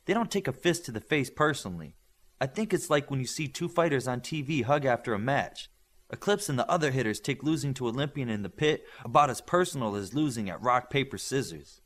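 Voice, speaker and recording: A man reading the part of an excitable, confident, creative girl with powers in an audiobook, eager and bossy. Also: mid-range voice, a little fast. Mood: casual.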